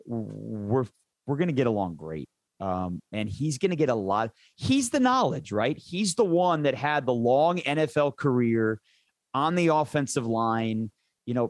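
A man's voice: mid-range voice, darkish tone, no echo. A man who works as a sports play-by-play announcer, chatting casually and enthusiastically in an interview, rambling into detail.

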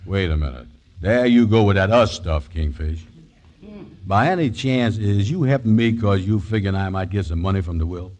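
An actor playing a gullible, grumbling sidekick with drawling, ungrammatical folksy speech in a 1940s radio comedy. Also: male voice, low voice, moderate pace, darkish tone, natural voice. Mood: suspicious. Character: slow-witted, gullible, grumbling male sidekick. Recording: vintage 1940s radio broadcast.

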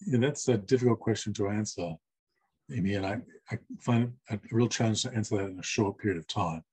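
A man interpreting for a deaf guest, speaking in the first person. He gives measured, list-like answers with short pauses. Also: on the low side, a little mellow, husky, no room sound, poor audio.

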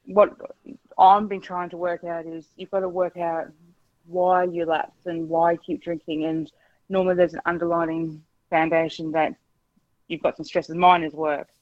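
A woman's voice: on the low side, little pitch movement, normal speed, no room sound, narrow, tinny audio. A woman, calling a radio show and speaking frankly, with many hesitations. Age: 40s. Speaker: caller. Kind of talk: candid phone-in chat.

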